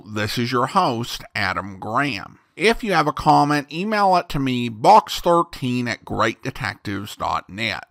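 A man's voice: mid-range voice, bright, forceful tone. A man with an American accent reading a scripted show introduction as a podcast host.

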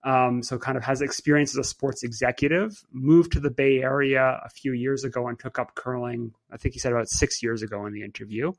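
Gravelly-voiced male podcast host. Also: medium pitch, steady tone, fairly quick, few pauses, poor audio. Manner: thoughtful, hesitant, many fillers and restarts. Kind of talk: casual conversational podcast talk.

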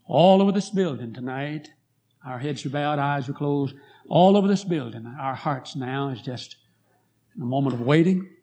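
A hoarse man preaching a revival sermon in a church, telling a story and building to fervent appeals. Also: medium pitch, animated, normal speed. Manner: rising to fervent pleas, then quiet prayer. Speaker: guest preacher. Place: church sanctuary.

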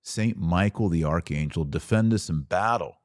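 A man hosting a podcast, giving a religious lecture in an emphatic, didactic manner with dramatic pauses. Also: sits low, dry recording, clean studio sound. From Texas. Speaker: podcast host.

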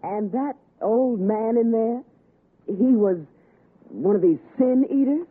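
An actress playing a young, fancy city wife, coaxing and fearful, with theatrical pleading in a 1960s radio suspense drama. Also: female voice, a little high, takes it slowly, breathy edge. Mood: uneasy. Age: young adult. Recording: noisy, narrow-band vintage radio recording.

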